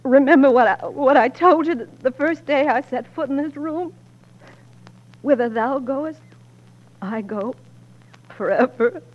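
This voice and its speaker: High voiced pioneer wife, stubborn and reproachful, big emotional old radio drama style. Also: female voice, measured pace, pauses often, lo-fi sound. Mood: tender. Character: strong-willed, long-suffering frontier wife and newspaper editor. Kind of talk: 1940s radio acting. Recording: old 1940s radio recording.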